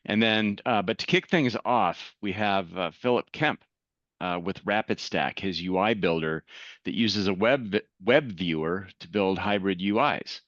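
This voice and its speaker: A man's voice: low voice, unhurried, fairly bright tone, dry recording, highs cut off. A man hosting an online meetup, introducing speakers and making announcements in a casual manner with many fillers. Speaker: meetup host. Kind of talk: event announcements. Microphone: video call.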